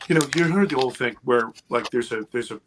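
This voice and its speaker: A man's voice: mid-range voice, fairly quick, background noise. A man in his fifties, a guest on a podcast, talking casually and with energy, swearing freely and checking in with "right?" and "okay". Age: 50s.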